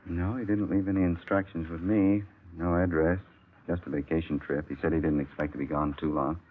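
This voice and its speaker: Casting: a deep-voiced, helpful bank manager, tinny old broadcast. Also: male voice, level tone, brisk. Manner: genial and businesslike. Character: genial, businesslike male bank manager. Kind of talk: 1950s radio drama acting. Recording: tinny 1950s radio broadcast with faint hiss.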